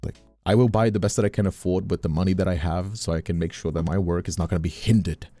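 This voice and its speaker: Deep voiced guy streamer, kinda dark mellow tone, rambly and emphatic, faint music behind. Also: fairly even intonation, fast talker, dry recording. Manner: rambling, repeats phrases, emphatic. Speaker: streamer and host. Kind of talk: casual livestream chat. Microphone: close studio condenser microphone.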